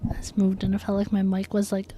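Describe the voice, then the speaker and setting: A woman's voice: medium pitch, completely flat delivery, quick pace, mellow, slight background noise. A woman livestreaming a video game, chatting casually in an easily amused, giggly manner. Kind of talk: casual livestream gameplay chat.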